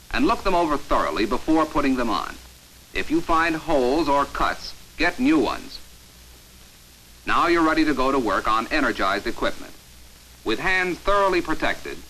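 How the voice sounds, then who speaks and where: An American man delivering scripted instructional narration for a 1950s training film in an authoritative, measured, emphatic announcer manner, on an old film soundtrack. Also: mid-range voice, pushed and bright, slight background noise, low-quality recording.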